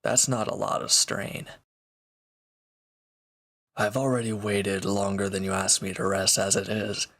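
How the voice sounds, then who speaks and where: A man acts out a sardonic former villain in an intimate audio drama. His voice is fairly deep with a bright, forceful edge. He talks slowly and casually, teasing his listener with dry remarks. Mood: reassuring. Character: sardonic, teasing reformed male villain recovering from wounds. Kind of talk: intimate roleplay audio drama, one-sided dialogue.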